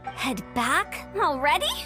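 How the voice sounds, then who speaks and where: High-voiced, unhurried young woman, music behind. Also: exaggerated voice. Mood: reluctant. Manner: casual, expressive, slangy. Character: cheerful playful young woman, laid-back and quirky. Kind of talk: scripted video game voice acting. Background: soft game music.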